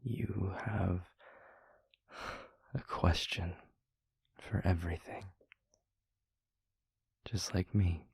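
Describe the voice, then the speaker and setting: A tender demon lover acted by a man murmurs very slowly, up close in a dry, intimate audio roleplay. The voice is breathy and very husky, and the phrasing is eloquent and florid, with a wry, devoted temper. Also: low-pitched, fairly even intonation, a little mellow, no room sound, exaggerated voice. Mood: tender, earnest. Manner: slow, florid, deliberate phrasing.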